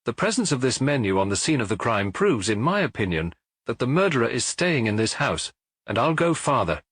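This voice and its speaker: Guy doing a smug police inspector for an audiobook, eager and pompous, swoops about, bit husky. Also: medium pitch, big pitch swings, a little fast, a bit bright, no room sound. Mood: self-important. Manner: pompous, formal, explanatory. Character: self-satisfied, eager local police inspector, a man. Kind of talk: audiobook character voice.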